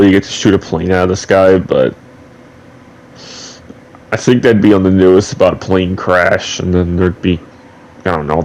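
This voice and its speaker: A man's voice: sits low, vocal fry, a little mellow, low-quality recording. A young man host giving rambling, chatty game commentary with trivia asides, his voice a little off from a slight head cold. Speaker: gaming channel host. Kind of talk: casual gameplay commentary.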